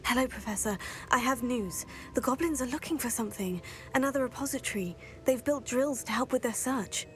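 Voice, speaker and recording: A woman's voice: on the high side, brisk, soft background music, lightly acted. A woman playing an earnest, composed teenage witch student in a video game, speaking politely. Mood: urgent, informative.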